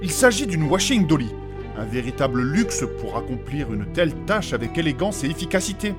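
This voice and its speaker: A man's voice: a little high, sing-song, a little fast, over music. A man playing a fussy, polite and pedantic scholar in a comic fantasy audio drama, speaking in a prim, formal manner. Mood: prim, chiding.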